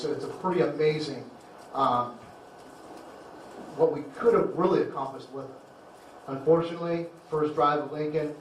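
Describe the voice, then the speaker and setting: A man who coaches high school football, giving a heartfelt banquet speech, halting and repeating words as emotion catches him. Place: banquet hall. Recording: echoey hall, distant mic, faint noise.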